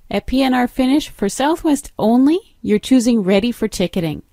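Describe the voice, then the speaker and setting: A woman narrating an instructional software demo as a trainer, explaining step by step in an instructive way. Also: medium pitch, no echo, studio quality.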